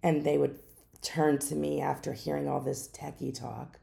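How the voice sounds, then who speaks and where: A middle-aged woman hosting her own podcast, speaking in an unhurried, musing way with some hesitations. She has a naturally deep voice that is hoarse from a lingering cough. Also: little pitch movement, warm tone. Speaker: podcast host. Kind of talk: reflective solo podcast talk.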